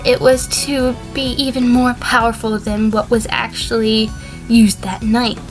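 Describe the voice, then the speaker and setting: Woman as a composed, high-voiced sheep defendant. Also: close to an everyday voice. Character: female sheep defendant, a wary, composed former conspirator. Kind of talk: fan audio drama acting.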